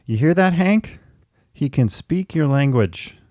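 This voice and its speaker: A man's voice: on the high side, lively intonation, unhurried, a little mellow, no room sound, studio quality. A man in his thirties chatting casually and candidly as a podcast host, laughing easily as he talks. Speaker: podcast host and therapy client. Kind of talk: casual conversational chat.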